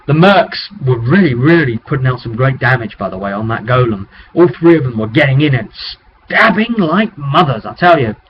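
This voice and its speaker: A man's voice: mid-range voice, very animated, fairly quick. A man telling the story of a tabletop roleplay session as its game master, casual, colloquial and rambling, recorded on a webcam microphone. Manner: rambling, colloquial, acting out sound effects. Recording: dull, noisy webcam microphone.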